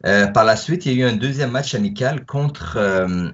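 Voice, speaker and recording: A man's voice: mid-range voice, normal speed. A man co-hosting a podcast, talking casually in an explanatory way with many fillers. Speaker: podcast co-host. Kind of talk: casual chat. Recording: dull lo-fi audio.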